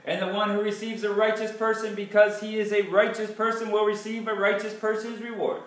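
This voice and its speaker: Male Bible reader, highish voice, declamatory with deliberate pauses, recorded in a very echoey room. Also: flat delivery, a bit bright. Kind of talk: dramatic scripture reading aloud. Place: small, sparsely furnished living room indoors. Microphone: distant camera microphone. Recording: echoey room, far from the camera mic.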